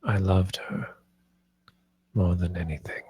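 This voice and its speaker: Man acting a grieving husband, very deep, husky. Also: steady tone, a little mellow, exaggerated voice. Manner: halting, subdued, sorrowful. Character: grieving, defeated husband and master of a house. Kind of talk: dramatic table read of a film script.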